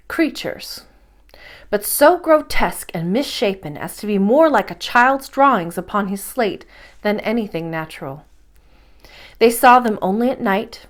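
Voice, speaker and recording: Female storyteller, higher-pitched, for children's bedtime reading; expressive, measured, dramatic phrasing.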